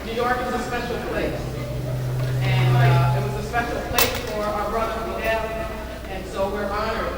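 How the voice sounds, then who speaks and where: A woman speaking as master of ceremonies at a memorial tribute, in an earnest, formal public-speaking manner with halting pauses. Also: medium pitch, steady tone. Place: large packed hall at a live event. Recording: echoey, reverberant hall, clearly noisy.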